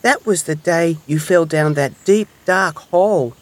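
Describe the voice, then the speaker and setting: A man voicing an eager, tender-hearted young hedgehog in a children's storybook, expressive and childlike. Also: fairly high pitch, unhurried, pitched above the performer's own voice, close to an everyday voice. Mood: sympathetic.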